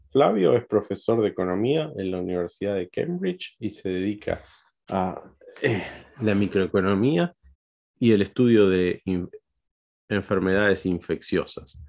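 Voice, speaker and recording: A man with an Argentine accent hosting an interview, halting with frequent fillers and tag questions. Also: fairly low pitch, animated, creaky, darkish tone, dull audio. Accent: Argentine Spanish. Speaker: host.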